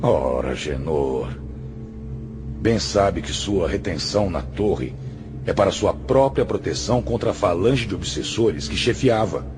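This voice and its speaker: Mestre espiritual severo, de voz grave. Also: male voice, low voice, lively intonation, unhurried. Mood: firm reproach. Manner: solemn, admonishing, didactic. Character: stern, patient male spiritual master, grave moral counselor. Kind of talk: radio drama acting. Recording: music under the voice.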